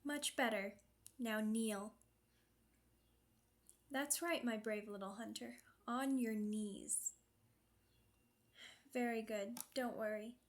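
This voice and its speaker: Woman acting slow, seductive sadistic vampire woman. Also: a little high, fairly even intonation. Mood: cold, commanding. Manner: slow, deliberate, condescending pet names, teasing menace. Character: sadistic seductive female vampire, possessive, commanding, mock-tender. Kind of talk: ASMR roleplay, intimate scripted monologue.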